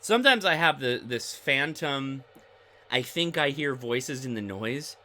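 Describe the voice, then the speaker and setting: A man's voice: mid-range voice, animated, a little slow, pushed and bright. An American man hosting a ham radio livestream in a casual, chatty way that rambles and digresses. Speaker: host of a ham radio live stream.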